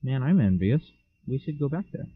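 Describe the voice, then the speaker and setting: A man's voice: mid-range voice, big pitch swings, moderate pace. A teenage man co-hosting a casual podcast, rambling with lots of fillers. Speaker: podcast co-host. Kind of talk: casual chat. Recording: dull lo-fi mic.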